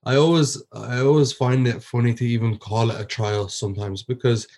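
This is an earnest young man from Ireland, speaking as a guest on a podcast. His voice sits at an ordinary middle pitch for a man. He talks through his story with frequent restarts and fillers as he searches for words. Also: fairly even intonation, moderate pace, darkish tone. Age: 20s. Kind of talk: personal testimony in an interview.

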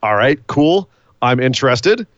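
A man's voice: mid-range voice, measured pace, no room sound, highs cut off. Man chatting casually on a podcast. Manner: animated, playful, hypes announcements. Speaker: podcast co-host. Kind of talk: casual wrestling podcast chat.

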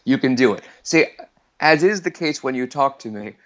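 A man's voice: medium pitch, lively intonation, normal speed, a bit bright, lo-fi sound. A man in his forties, a returning podcast guest, chatting casually in a rambling, self-deprecating way. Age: 40s. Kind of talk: casual chat.

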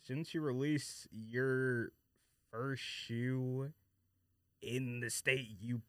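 A man's voice: medium pitch, steady tone, drawn-out words, fairly bright tone, clear, resonant tone, dry recording, clean studio sound. A man chatting casually as a podcast guest, giving skeptical, informal opinions about sneakers. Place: dry studio.

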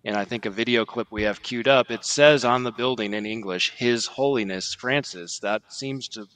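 A man's voice: mid-range voice, normal speed, fairly bright tone, low-quality recording. A man speaking as a podcast guest, giving conversational, explanatory commentary.

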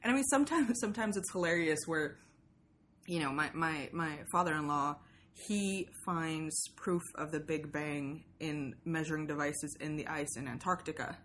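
Chatty, lower-pitched woman in conversation. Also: normal speed, fairly bright tone, a little hiss or hum. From Sweden. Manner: chatty, self-deprecating, joking, dramatizes quoted remarks. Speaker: podcast host being interviewed. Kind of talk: casual, animated conversation.

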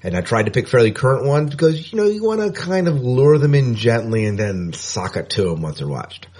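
A man's voice: medium pitch, sing-song, a little fast, a little mellow, breathy edge. A man co-hosting a podcast, chatting casually and correcting himself as he goes. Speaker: podcast co-host.

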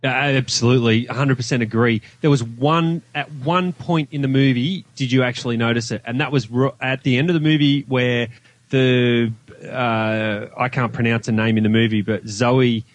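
A man's voice: mid-range voice, steady tone, moderate pace, dry recording. Man chatting casually, close dry sound. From Australia. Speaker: podcast guest reviewer. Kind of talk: casual chat.

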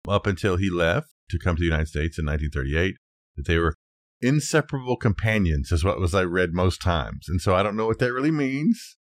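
Deep-voiced man chatting about design history. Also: no room sound. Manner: conversational, hedging, thinking aloud. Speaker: podcast co-host. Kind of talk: casual design discussion, telling researched history.

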